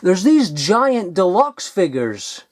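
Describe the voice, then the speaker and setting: A man, chatting casually and excitedly while digging through old toys, rambling and swearing now and then. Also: high-pitched, takes it slowly. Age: 20s. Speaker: toy collector vlogger. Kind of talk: casual unboxing chat.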